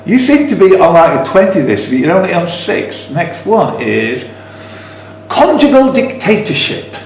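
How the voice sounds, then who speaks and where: A man's voice: high voice, sing-song, unhurried, gravelly. A man hosting a live quiz, reading out questions and rambling into teasing, jokey asides. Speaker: quiz host. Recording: echoey room, slight background noise.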